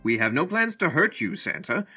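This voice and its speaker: Calm serious martian, kinda formal, muffled and echoey, radio play. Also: male voice, a little high, moderate pace. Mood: firm, reassuring. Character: calm, firm, earnest male Martian leader. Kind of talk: audio drama acting. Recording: muffled, echoey track under music.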